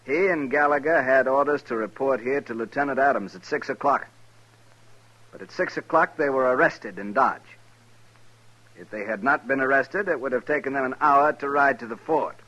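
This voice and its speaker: Rigid, cold cavalry captain, bright voice. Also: male voice, mid-range voice, highs cut off. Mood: stern, reasoning. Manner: formal, measured, unbending. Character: rigid, cold army captain, thirty-year veteran. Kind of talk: 1950s Western radio drama acting.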